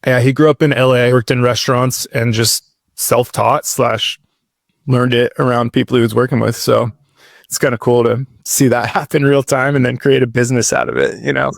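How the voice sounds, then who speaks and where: Man in his 30s, podcast guest, gravelly, dry close sound, rambling and casual. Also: mid-range voice, flat delivery, moderate pace, no echo. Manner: laid-back, rambling, says dude and like a lot. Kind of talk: casual storytelling interview.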